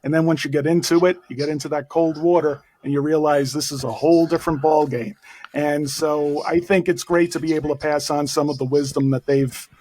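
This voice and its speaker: A man's voice: fairly high pitch, fairly even intonation, a little fast, a little mellow. Bronx-accented man, a podcast guest. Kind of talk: casual interview conversation.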